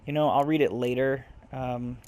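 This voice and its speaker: A man's voice: medium pitch, flat delivery, normal speed, darkish tone, a little hiss or hum. A man hosting a let's play, musing aloud informally and reading game text out. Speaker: gaming video host.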